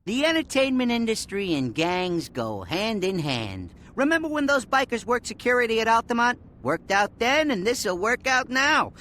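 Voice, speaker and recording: A man's voice: sits high, pushed and bright, a little hiss or hum, exaggerated voice. An actor playing a slick, pushy male talent agent with glib sales patter in a video game cutscene.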